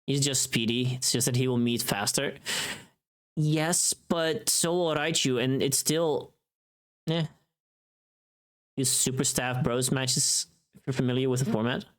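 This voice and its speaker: A livestream host chatting casually with viewers in an animated, informal, sweary way. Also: medium pitch, measured pace, pauses often.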